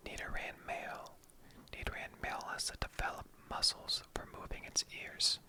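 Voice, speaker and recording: An online creator whispers throughout in a breathy, unvoiced ASMR style. The reading is soft and playful, like sharing short encyclopedia entries with chatty asides. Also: normal speed.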